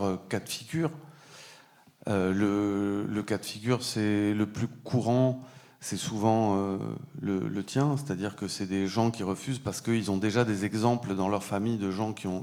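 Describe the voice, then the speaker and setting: A man's voice: on the low side, fairly even intonation, moderate pace, darkish tone. A man who is an author, answering in an interview with frequent hesitations. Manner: hesitant, many fillers. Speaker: guest, author.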